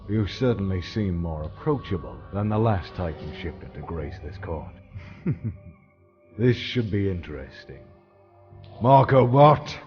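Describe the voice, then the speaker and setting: Actor as male military judge, very low voice, sardonic, measured, audio drama, muffled. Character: wry, authoritative male military court judge. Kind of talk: fan audio drama acting.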